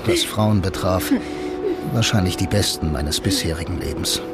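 A man's voice: low-pitched, moderate pace, natural voice. An actor playing a wry, laconic, lonely blind man who narrates and talks in a noir radio drama. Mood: wry, reflective. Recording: music behind the voice. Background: music.

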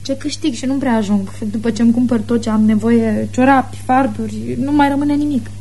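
A woman's voice: on the high side, mellow, close to an everyday voice. An actress playing a whimsical, stubborn pregnant teenage girl in a radio play, lonely, offbeat and flippant. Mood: guarded, wistful. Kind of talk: radio theatre acting. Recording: noisy radio drama recording with hum.

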